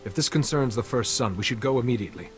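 A man's voice: medium pitch, fast, faint music behind, natural voice. A man playing a composed, caring Jedi master in a video game cutscene, with a formal, reassuring manner. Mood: calm, resolute. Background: loud game score.

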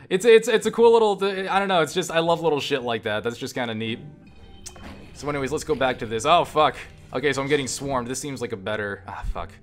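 A man's voice: medium pitch, brisk, soft background music. Man casually rambling through game commentary. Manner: enthusiastic, sweary. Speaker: video game reviewer and player. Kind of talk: casual unscripted gameplay commentary.

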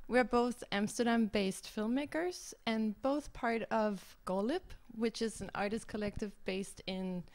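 A young adult woman from Denmark introduces herself and her work in an upbeat, friendly way. Her voice sits a little high. She speaks into a mic on a small studio stage. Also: unhurried, hardly any pauses, darkish tone. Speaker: filmmaker. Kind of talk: casual conversation on a radio stage. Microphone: handheld mic on a stand.

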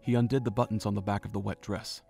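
A man reads the story's narration in a somewhat low, measured voice. His delivery stays even and steady. Soft music plays faintly underneath. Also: vocal fry.

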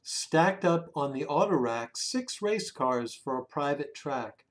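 A man reads a children's picture book aloud expressively, drawing out words and hushing the ends of phrases. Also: a little high, animated. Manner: dramatic, with drawn-out words and hushed phrase endings. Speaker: children's story reader. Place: small room indoors at home.

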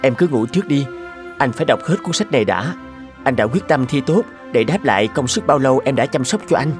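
A man's voice: medium pitch, animated, brisk, natural voice. Man acting an earnest, courteous young scholar. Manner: courteous, gentle. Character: polite, earnest, devoted young male scholar. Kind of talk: animated fairy tale voice acting. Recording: faint music under the voice.

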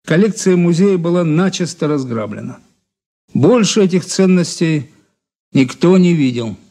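Detective's aide in radio drama, mellow slightly high voice, factual and official. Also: male voice, expressive, a little slow. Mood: businesslike. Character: businesslike, deferential male investigator's aide reporting.

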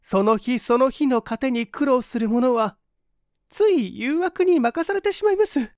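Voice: high voice, expressive, unhurried, a little mellow, no room sound, clean studio sound, pitched above the performer's own voice. A performer voicing an earnest, indignant young woman in a storybook reading, speaking in a formal, pleading, feminine way. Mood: indignant, lamenting. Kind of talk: dramatized literary reading.

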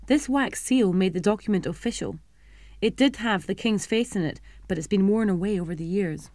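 A young adult woman presenting and narrating a schools history programme, explaining things clearly and simply for children. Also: medium pitch, normal speed, a little mellow, no echo.

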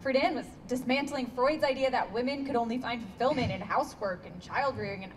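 A woman in her twenties playing a sharp, sardonic, bookish young woman in a staged reading, pointed and challenging. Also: fairly high pitch, brisk, lightly acted. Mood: indignant. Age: 20s. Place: outdoor stage against a block wall. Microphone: handheld stage microphone, heard from afar. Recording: distant handheld mic, noticeable background noise.

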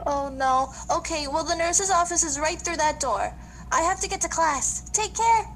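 A perky cookie schoolgirl acted by a woman speaks very high. She is friendly and outspoken, a classmate in a children's radio play. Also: normal speed, a bit bright, faint music behind, rough recording. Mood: concerned, friendly.

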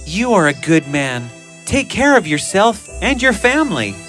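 A man playing a courteous, devoted young noble husband in an animated fairy tale, formal and earnest. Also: sits high, moderate pace. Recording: music bed behind the voice.

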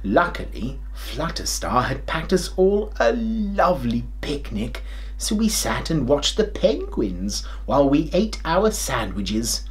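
A middle-aged man playing a kindly, jolly, forgetful old grandad king, telling a children's story in an expressive, theatrical way. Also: a little high, slow. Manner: theatrical, expressive, with hammed-up hesitations and chuckles.

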